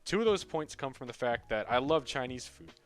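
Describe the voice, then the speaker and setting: A young American man delivers casual comedic commentary with a sarcastic edge. He swears freely, and soft background music sits under his voice. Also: medium pitch, animated, a little fast. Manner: sarcastic, profane. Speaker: video creator.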